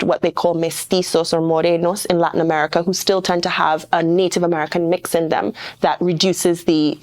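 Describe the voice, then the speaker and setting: A middle-aged woman from Trinidad, a lawyer speaking as a guest in a podcast interview, talking thoughtfully and restarting her sentences often. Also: on the low side. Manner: thoughtful, with frequent fillers and restarts.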